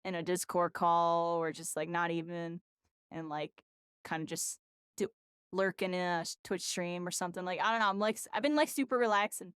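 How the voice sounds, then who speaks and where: A woman's voice: fairly low pitch, steady tone, normal speed, a bit bright, clear, resonant tone, no room sound, studio quality. A tired woman streamer chatting casually and rambling, her voice worn out after a long session. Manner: rambling, full of "like" and "you know". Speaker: game streamer. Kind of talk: casual live-stream chat.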